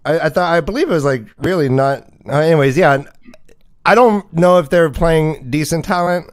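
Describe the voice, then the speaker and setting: A man hosting a sports livestream, chatting casually in an animated, joking way. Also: on the high side, normal speed. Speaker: host of a fantasy football livestream.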